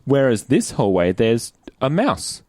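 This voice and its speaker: A man with an Australian accent in casual podcast chat, animated and given to acting out reactions. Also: medium pitch, a little slow, dry recording, clean studio sound. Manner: animated, acts out quoted reactions. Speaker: podcast host and player.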